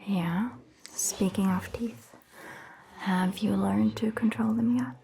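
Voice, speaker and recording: Slow, whispering woman, gentle ASMR doctor. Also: mid-range voice, fairly even intonation, creaky, slight background noise. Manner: soft whispering, gentle and reassuring. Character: calm, caring female vampire doctor. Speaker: ASMR creator playing a vampire doctor. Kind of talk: ASMR roleplay, whispered medical exam. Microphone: close ASMR microphone.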